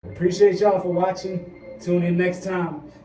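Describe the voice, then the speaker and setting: A young man in his late teens, strained from being held in an awkward position, drawling a slow sign-off. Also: sits high, flat delivery, dark tone, a little hiss or hum. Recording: echoey, voice from far off.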